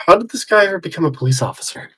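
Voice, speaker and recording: A game streamer with very animated, swooping intonation chats casually over clearly audible game music. The commentary is loose and off the cuff, broken up by bits of dialogue read aloud. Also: mid-range voice, very fast. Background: video game music.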